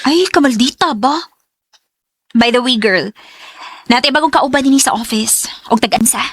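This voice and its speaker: A woman's voice: fairly high pitch, normal speed, a bit bright, slightly rough, no room sound. A woman acting a chatty, teasing young office friend with a Cebuano accent in a radio drama. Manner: playful, gossipy, nudging.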